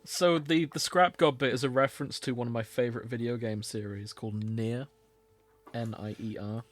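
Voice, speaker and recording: A man's voice: medium pitch, fairly even intonation, normal speed. Guy hosting a comedy podcast, normal mid voice, chatty and amused. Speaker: podcast host. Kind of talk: casual comedic podcast chat.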